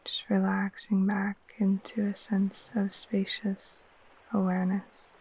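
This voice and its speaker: A woman leads a guided sleep meditation in a soft, breathy near-whisper. Her tone is mellow and very even, with little rise or fall. She speaks very slowly and gently, leaving long, soothing pauses. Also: medium pitch. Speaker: yoga nidra guide. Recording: faint steady wash of ocean waves.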